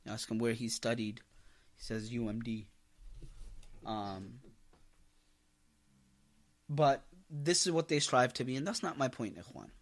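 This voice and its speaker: A man's voice: medium pitch, unhurried, long pauses. A man teaching a religious class as an imam, lecturing with emphasis, repeating key phrases and putting rhetorical questions to his listeners. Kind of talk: impassioned religious lecture to a congregation.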